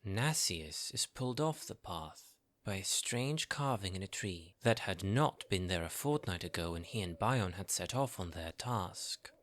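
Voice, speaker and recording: A man narrating a scripted fantasy audio drama in a measured storytelling manner. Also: low-pitched, expressive, fairly bright tone.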